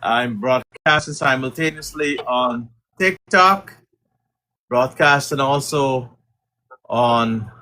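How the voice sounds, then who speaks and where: A middle-aged man from Guyana hosting a casual livestream as a community leader, talking in a halting way with many fillers. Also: medium pitch, bright, forceful tone, slight background noise.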